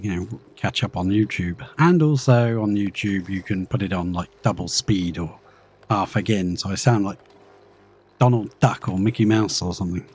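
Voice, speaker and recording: Low, mellow man streaming a game. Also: normal speed, breathy edge. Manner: chatty, thinks aloud and mutters to himself. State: slightly croaky after illness. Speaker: live streamer. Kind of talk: casual gameplay commentary.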